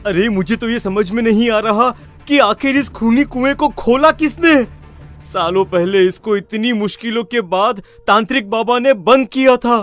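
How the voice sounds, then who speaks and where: A man's voice: very high voice, a little slow, fairly bright tone, faint music behind. A man playing a worried, resigned villager in a cartoon horror story, grave and fretful.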